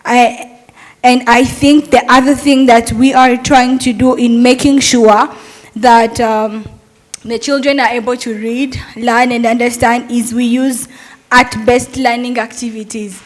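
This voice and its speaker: A woman's voice: a little high, level tone, measured pace. A young adult woman, a Montessori teacher, giving an earnest, persuasive talk at a summit and asking rhetorical questions.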